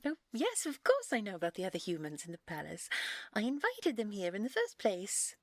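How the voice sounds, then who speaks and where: Woman playing a fluttery, breathy fairy noblewoman. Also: mid-range voice, huge pitch swings, brisk, dry recording, studio quality. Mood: polite, flustered. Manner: prim, fluttery, hesitant politeness. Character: genteel, vain, naive fairy noblewoman. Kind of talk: audio roleplay acting.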